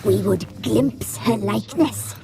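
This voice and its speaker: A woman playing a sinister, gloating cannibal witch in a video game, with theatrical drawn-out delivery and archaic phrasing. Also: medium pitch, creaky, over music. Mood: ominous. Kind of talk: video game dramatic voice acting.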